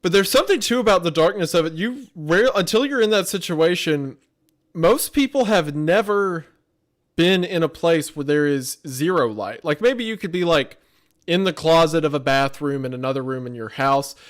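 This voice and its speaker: A man with a somewhat higher-pitched voice talks casually, as a content creator giving commentary. His intonation moves up and down a great deal, and he leans into key words like an expressive storyteller. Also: normal speed. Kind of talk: casual chat, reaction commentary.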